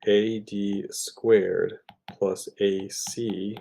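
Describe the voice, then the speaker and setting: A man with a low, slow voice works through a math problem step by step as a tutor. His tone is dark and mellow, and he thinks aloud informally, pausing and correcting himself as he goes. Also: little pitch movement, vocal fry, slight background noise.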